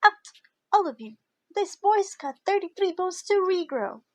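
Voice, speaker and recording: A woman playing a stern, brisk school nurse who scolds, in an audio drama. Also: extremely high pitch, very animated, dry recording. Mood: stern, scolding. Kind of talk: fan audio drama acting.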